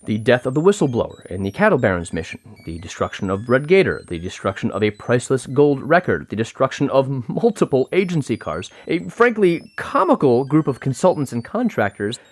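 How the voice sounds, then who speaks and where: A woman's voice: rumbling low, big pitch swings, a little fast, hardly any pauses, darkish tone, heavily acted. A woman playing a cool, condescending government agency chief in an audio comedy drama, formal and smugly authoritative. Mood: stern, disapproving.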